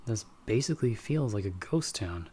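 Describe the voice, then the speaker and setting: A man playing a watchful bounty hunter in an audio roleplay, explaining things to his companion in a measured, slightly formal way. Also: fairly low pitch, lively intonation, warm tone, slightly rough. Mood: calm, watchful. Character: calm, watchful male bounty hunter with magical wards. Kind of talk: ASMR audio roleplay acting, speaking to the listener as companion.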